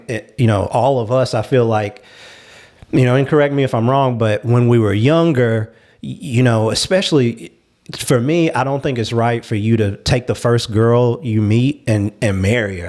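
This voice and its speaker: A man's voice: mid-range voice, darkish tone. A man in a casual panel discussion, explaining his opinions at length in a conversational way. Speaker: talk show panelist.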